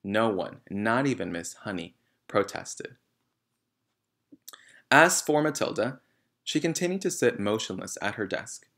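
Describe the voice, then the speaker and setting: A man's voice: on the low side, lively intonation, unhurried, long pauses, fairly bright tone. A man reading a children's novel aloud as narrator. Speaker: reader.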